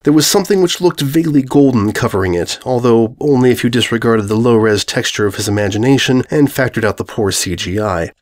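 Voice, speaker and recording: A man's voice: mid-range voice, animated, fairly quick, few pauses. A man narrating scripted fiction in a dramatic reading style. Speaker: story narrator.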